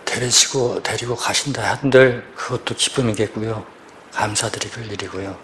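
A man in his fifties, a police officer, giving a quiet, halting personal testimony in church and asking for prayer. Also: lowish voice, fairly even intonation, a little hiss or hum. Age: 50s. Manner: quiet, halting, searching for words.

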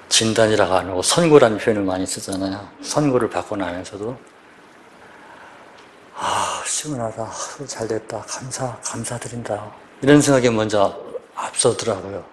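Low-voiced man in his fifties, halting. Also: slight background noise. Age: 50s. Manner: quiet, halting, searching for words. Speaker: police officer giving testimony. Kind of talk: personal church testimony asking for prayer.

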